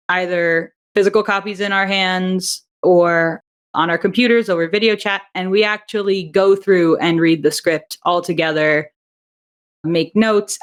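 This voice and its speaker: A woman, an audio drama writer and director, talking casually in an interview, chatty with frequent restarts and easy laughter. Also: lowish voice.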